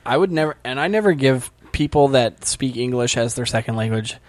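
A man's voice: mid-range voice, lively intonation, a little fast, no echo. A young man in casual chat, easygoing, who acts out the voices he quotes.

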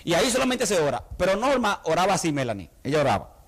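A man's voice: high voice, very animated, fast, slightly rough. A middle-aged man from the Dominican Republic with a Dominican accent, preaching a sermon and acting out the voices of the people he quotes. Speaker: pastor.

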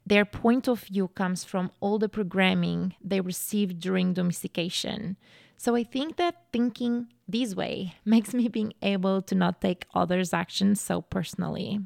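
A young woman talks earnestly and reflectively, as a podcast host sharing her thoughts. Her voice carries a gravelly fry at the ends of phrases. The sound is close and dry, with no room around it. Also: medium pitch, unhurried, no room sound. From Portugal. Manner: earnest, animated when telling a story. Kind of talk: casual reflective monologue.

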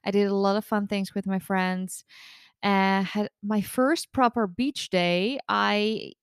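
Nasal woman, smooth and dry. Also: mid-range voice, fairly even intonation, slow pace, no echo. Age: 30s. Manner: chatty, rambling with frequent restarts and self-corrections. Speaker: podcast host and recovery coach. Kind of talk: casual solo podcast chat.

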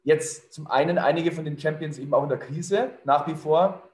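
A man's voice: a little high, moderate pace, a little mellow, roomy sound. A middle-aged man, a leadership consultant, talking fluently and in a businesslike way with some fillers. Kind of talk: conversational interview answer.

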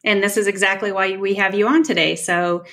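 A woman's voice: mid-range voice, steady tone, brisk, fairly bright tone. A woman hosting a podcast interview in an upbeat, eager way. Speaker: podcast host.

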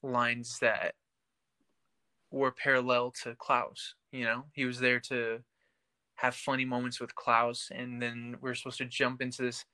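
Young man, bright crisp-edged tone, mid pitch, podcast co-host, casual chat, opinionated and explanatory. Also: monotone, a little slow. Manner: opinionated, explaining his view point by point. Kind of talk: casual panel chat about TV.

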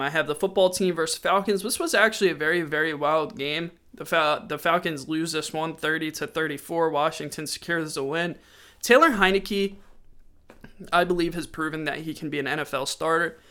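A man's voice: on the high side, pushed and bright, clear, resonant tone. A man with a stuffy, sore-throated voice hosting a casual sports podcast, running through game stats conversationally. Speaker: podcast host.

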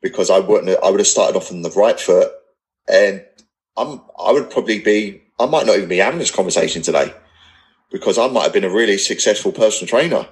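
Male podcast guest, 30s, low voice, everyday non-posh English accent, earnest and blunt, loose conversational delivery. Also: expressive, brisk, low-quality recording. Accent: English, not posh. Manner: earnest, rambling, blunt with swearing. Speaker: podcast guest, personal trainer business owner. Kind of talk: casual podcast interview, candid talk.

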